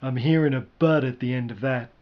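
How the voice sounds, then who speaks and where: A man's voice: mid-range voice, fairly quick, dull audio, close to an everyday voice. A man voicing a practical, doubtful but well-meaning sidekick who reasons tentatively in a storybook reading. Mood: gentle, resigned.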